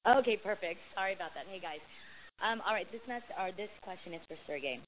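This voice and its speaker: A woman's voice: medium pitch, lively intonation, fast. A woman reporter asking questions on a press conference call, with a hesitant, rambling delivery. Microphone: phone call. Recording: hissy, narrowband phone conference line.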